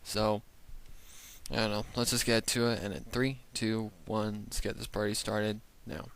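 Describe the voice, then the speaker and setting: A man's voice: on the low side, little pitch movement, a little slow, vocal fry, fairly bright tone, clean tone. A man hosting a reaction video with casual, off-the-cuff commentary.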